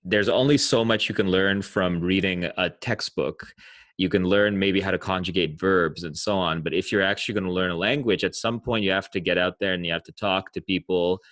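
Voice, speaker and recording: A man's voice: sits low, steady tone, a little fast, fairly bright tone, no room sound. A man hosting an interview, musing aloud and building up long questions with some restarts. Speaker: podcast host.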